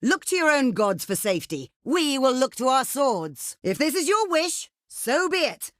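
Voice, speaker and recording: A woman's voice: sits high, big pitch swings, normal speed, a bit bright, no room sound, clean studio sound. A woman playing a proud, imperious ancient desert queen for a video game, speaking in a regal, theatrical, declamatory way. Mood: stern, threatening. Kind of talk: video game diplomacy voice lines.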